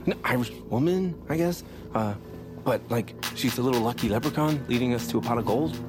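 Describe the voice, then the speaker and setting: A man's voice: medium pitch, fairly quick, music in the background, lightly acted. An actor playing a bumbling male thief who narrates his own sneaking in self-important, overdramatic whispers. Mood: mock-heroic. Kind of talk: comedic audio drama acting.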